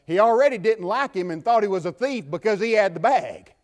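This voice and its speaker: A man with a Southern US accent preaching a sermon from the pulpit, building to fervent shouts and dropping to quiet talk. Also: high voice, animated, brisk. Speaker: pastor. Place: church.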